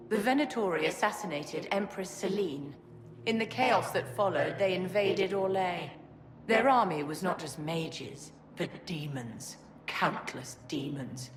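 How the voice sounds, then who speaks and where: An elegant, haughty sorceress in a game cutscene, with a low voice and a condescending, measured delivery. The sound is echoey, with noticeable noise. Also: female voice, animated. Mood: weary, grave. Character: haughty, elegant dying sorceress, coldly sardonic. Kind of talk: dramatic video game cutscene acting. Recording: echoey, clearly noisy background.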